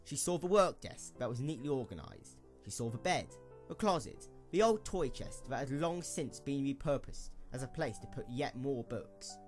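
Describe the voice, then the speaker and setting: A man narrating a scripted story as an expressive storyteller for an audio drama. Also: medium pitch, normal speed, soft background music.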